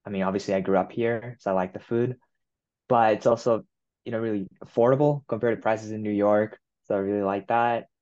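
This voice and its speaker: A young man in his twenties from Japan, whose first language is Japanese, answering interview questions in a relaxed way with many "you know"s and "um"s. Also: lowish voice, brisk, highs cut off. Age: 20s. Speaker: guest. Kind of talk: casual interview.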